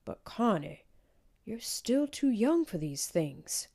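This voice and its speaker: A woman voicing a gentle, steady father explaining things in a measured way in a children's storybook. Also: medium pitch, pitched above the performer's own voice, full character voice. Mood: gently firm.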